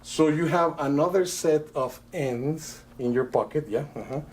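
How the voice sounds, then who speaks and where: A middle-aged man from Italy, a magician doing live patter for a rope trick, talking in a chatty, halting way with many fillers. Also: on the high side, a little mellow. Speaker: magician guest.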